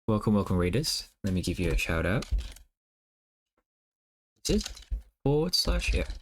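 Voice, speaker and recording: A man whose first language is Cantonese, chatting casually on a livestream while he draws, musing aloud softly with many fillers. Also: on the low side, very animated, a little slow, slight background noise. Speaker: streamer.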